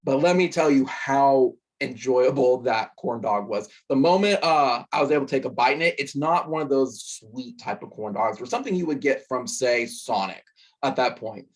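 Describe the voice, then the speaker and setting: A young man with a hoarse voice, casually recapping a football game he attended, rambling with fillers. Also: medium pitch, normal speed. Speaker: sports podcast host.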